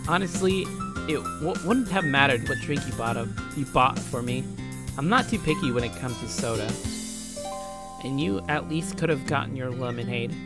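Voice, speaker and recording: A man's voice: mid-range voice, normal speed. A man doing a let's play, reading a visual novel aloud, acting out the dialogue with casual commentary and stumbling over words, with music behind him.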